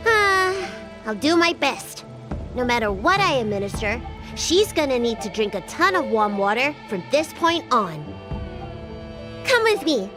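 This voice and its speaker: A woman voicing a small, excitable young girl healer in a video game, with a youthful, expressive and bossy yet earnest delivery. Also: high-pitched. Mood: businesslike, flustered. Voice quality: youthful, girlish. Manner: expressive, exclaiming, dramatic. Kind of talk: video game character acting. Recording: game audio with background music.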